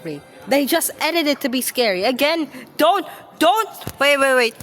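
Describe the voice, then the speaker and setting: A woman giving casual reaction commentary, speaking haltingly and often repeating and stumbling over her phrases. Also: high-pitched, lively intonation, a bit bright, faint music behind. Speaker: reaction video host.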